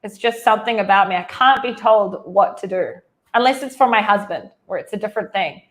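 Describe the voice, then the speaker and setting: A woman's voice: medium pitch, expressive, fairly quick. A woman in her thirties with a New Zealand accent, telling her life story as an interview guest in a casual, chatty way over a video call, full of "like" fillers and restarts. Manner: chatty, frequent "like" fillers and restarts, laughs easily.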